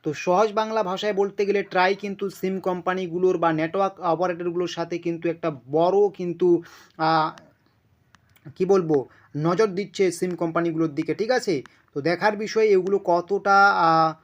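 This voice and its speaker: A man's voice: high voice, steady tone, moderate pace. A man presents an informal news explainer, speaking emphatically, repeating himself and checking in with his listeners. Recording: dull lo-fi sound.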